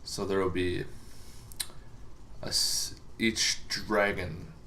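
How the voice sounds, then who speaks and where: A man giving a casual, unscripted explainer as a host, halting and rambling with long pauses, over some background noise. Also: sits low, little pitch movement, sharp tone. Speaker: host of a tabletop gaming lore series.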